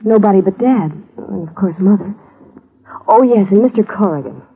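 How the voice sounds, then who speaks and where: A woman's voice: medium pitch, animated, normal speed, vocal fry, slight background noise. An actress playing a grieving, polite young woman in a 1950s radio drama, earnest and subdued. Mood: somber recounting. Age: young adult. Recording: muffled, tinny old radio recording.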